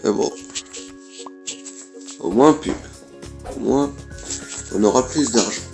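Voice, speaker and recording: A man's voice: medium pitch, slow, gravelly, darkish tone, soft background music. A man, who has a cold, giving casual, blunt let's play commentary as a gaming YouTuber and swearing offhandedly.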